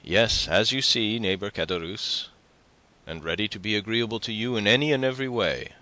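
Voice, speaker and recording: A man's voice: low-pitched, unhurried, bright, forceful tone, voice pushed up, exaggerated voice. A man voicing an eager, generous young sailor in an audiobook, speaking earnestly and with animation. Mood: polite, cool.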